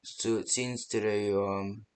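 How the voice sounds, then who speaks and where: A man's voice: fairly low pitch, fairly even intonation, a little mellow. A man playing a nervous, hesitant coworker who leaves stammered security tips by phone in an amateur horror game. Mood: hesitant, explaining. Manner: hesitant, halting, stammering delivery. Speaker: voice actor in fan-made game.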